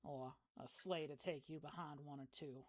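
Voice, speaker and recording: Woman as a folksy, hospitable village woman, lowish voice. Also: steady tone, moderate pace, darkish tone, no echo, clean studio sound. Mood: kindly. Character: friendly folksy village woman hosting travellers.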